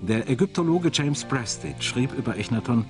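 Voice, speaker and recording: A man's voice: medium pitch, very animated, moderate pace. A man reading scripted narration for a documentary as its narrator. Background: music behind the voice-over.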